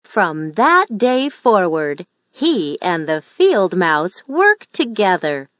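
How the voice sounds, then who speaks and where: A woman reads a children's picture book aloud in an unhurried, gentle storytelling manner. Her pitch moves expressively through each line. The recording is dry and slightly dull. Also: very animated, dry recording. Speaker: storybook reader. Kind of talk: children's read-aloud narration.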